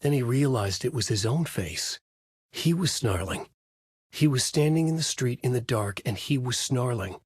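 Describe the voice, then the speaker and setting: A man's voice: medium pitch, expressive, normal speed, husky, no room sound, studio quality. A middle-aged man narrating a video game as a brooding writer, in a noir-like inner monologue. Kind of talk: hard-boiled game narration.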